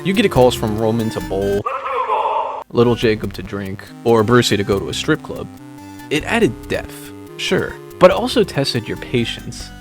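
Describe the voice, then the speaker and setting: A man reading a scripted video essay in a dry, wry, measured way. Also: mid-range voice, big pitch swings. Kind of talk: scripted narration. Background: background music.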